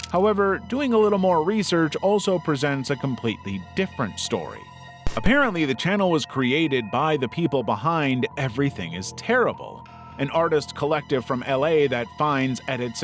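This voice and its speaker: A man reading scripted explainer narration in a wry, conversational way. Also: on the high side. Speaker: narrator of internet horror explainer videos.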